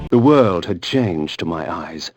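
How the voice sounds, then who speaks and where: A man's voice: sits low, expressive, slow, over music. An actor playing a brooding, vengeful vampire nobleman, narrating a game in a theatrical, grave and ornate manner. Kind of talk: dramatic scripted game narration.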